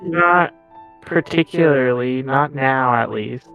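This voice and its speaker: A man playing a tabletop game, speaking calmly and evenly for his character. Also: medium pitch, slow pace, gravelly, phone-quality sound, faint music behind. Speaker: player. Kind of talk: casual tabletop game talk.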